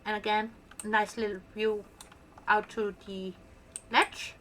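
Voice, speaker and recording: A woman's voice: mid-range voice, level tone, takes it slowly, a bit bright, slight background noise. A woman with a Danish accent, a native Danish speaker, chatting casually and playfully as she shows off her build in gameplay commentary. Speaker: gaming channel host.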